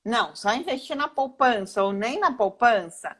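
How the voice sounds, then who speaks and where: A woman's voice: fairly high pitch, lively intonation, normal speed, fairly bright tone. A woman with a Brazilian accent giving an informal, didactic explanation as a financial planner, posing questions and answering them herself. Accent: Brazilian Portuguese. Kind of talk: informal explanatory talk in a live online session.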